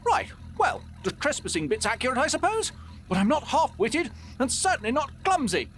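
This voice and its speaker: An actor playing a polite, hapless young English gentleman adventurer in a cartoon game, prim and apologetic. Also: very high voice, big pitch swings, normal speed, a little hiss or hum, exaggerated voice. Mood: polite, sheepish. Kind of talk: scripted cartoon game acting.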